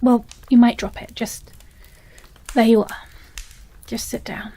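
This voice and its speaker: A woman with a British accent playing an obsessive, possessive, unstable stepsister in a dramatic audio roleplay, speaking haltingly with heavy pauses. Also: fairly high pitch, flat delivery, mellow. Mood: falsely soothing.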